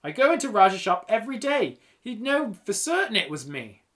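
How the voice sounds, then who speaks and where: Man as a nervous, lively-pitched young boy. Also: moderate pace. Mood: reluctant. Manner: reluctant, shy, unsure. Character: hesitant, nervous twelve-year-old boy. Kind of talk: children's audiobook character reading.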